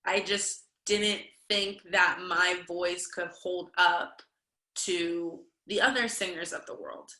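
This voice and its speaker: A woman's voice: on the low side, fairly even intonation, slow, bright, forceful tone, reverberant. A young woman, a dancer and fitness instructor, talking openly and reflectively as an interview guest, with lots of fillers. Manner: open, self-reflective, talks with many fillers. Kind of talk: candid conversational interview.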